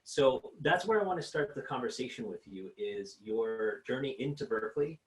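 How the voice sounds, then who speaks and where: A man leading an interview in a relaxed, conversational way with frequent fillers. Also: medium pitch, moderate pace, rough recording. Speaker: interviewer, program director.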